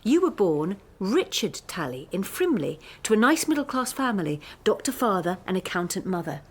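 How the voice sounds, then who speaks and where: An actress in a radio drama playing a defiant, accusing young postgraduate student with a pointed, sardonic delivery. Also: female voice, medium pitch, sing-song, moderate pace, breathy edge. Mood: scornful. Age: young adult. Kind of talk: naturalistic radio drama acting.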